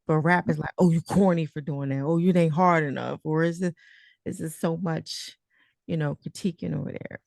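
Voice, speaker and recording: A woman with a low, creaky voice hosts a casual interview. She talks in slangy, informal language with a playful, teasing manner. The sound is close and dry. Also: steady tone, normal speed, a little mellow, no echo. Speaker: host and interviewer.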